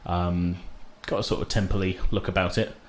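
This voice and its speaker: A man's voice: deep voice, steady tone, normal speed, slight background noise. A man working as game master, narrating casually in an informal, hesitant way. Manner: informal, hesitant, chuckling asides.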